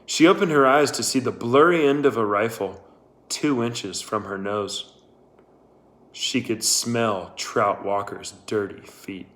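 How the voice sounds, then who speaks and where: Male narrator for a novel read-aloud, mid-range pitch, very unhurried delivery. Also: fairly bright tone. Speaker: audiobook-style narrator.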